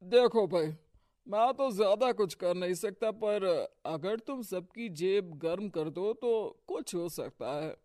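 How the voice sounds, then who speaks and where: A man's voice: sits high, lively intonation, slow, darkish tone. A man voicing characters in a cartoon moral story with a bossy, scheming manner. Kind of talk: cartoon voice acting.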